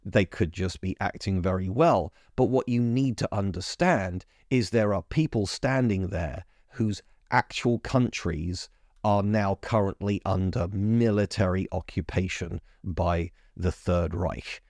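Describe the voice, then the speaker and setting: A man with a fairly low voice hosts a casual history podcast. He talks in an easy, chatty way, often restarting mid-thought and stressing words he cares about. His voice is close and dry, with no room echo. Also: a little slow, darkish tone. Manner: chatty, self-interrupting, emphatic. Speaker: podcast host.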